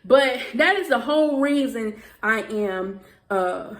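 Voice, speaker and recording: A Southern woman speaking to her viewers in a heated casual commentary, talking fast and emphatically with frequent "okay"s and restarts. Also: fairly high pitch, lively intonation, a bit bright. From Southern United States. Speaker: YouTuber.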